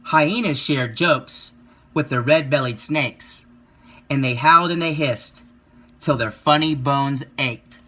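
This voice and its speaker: A man reading a children's picture book aloud, with expressive, rhythmic delivery and drawn-out emphasis. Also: medium pitch. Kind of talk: children's story read-aloud.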